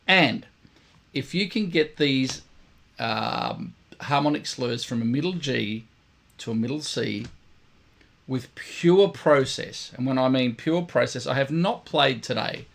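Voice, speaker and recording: A man, a brass teacher giving blunt, emphatic instruction to camera, his voice croaky and tired today. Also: medium pitch, animated, slow pace, fairly bright tone. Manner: emphatic, blunt, repeats points for stress. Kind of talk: direct instructional talk to camera.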